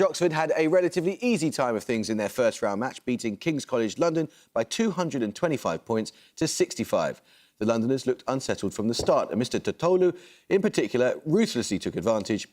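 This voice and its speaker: Brief: middle-aged male quizmaster, mid-pitched, crisp formal reading, studio broadcast sound. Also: a little mellow. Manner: measured, precise, formal delivery. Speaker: quiz show host. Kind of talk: scripted quiz presenting. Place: television studio.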